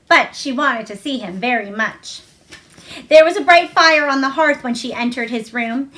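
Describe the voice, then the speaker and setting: A woman with a high-pitched voice reads a children's novel aloud. She delivers it as storybook narration. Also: bright, forceful tone. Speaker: storybook reader.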